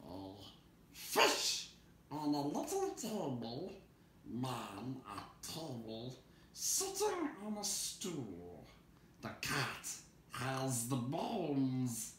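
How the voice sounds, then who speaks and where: A man's voice: medium pitch, wildly swooping pitch, a bit bright, roomy sound, voice pushed up, full character voice. A man voicing a slimy, hungry, menacing cave creature that hisses and draws out its words with glee, in a story reading. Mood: riddling. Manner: hissing, gleeful, drawn-out words.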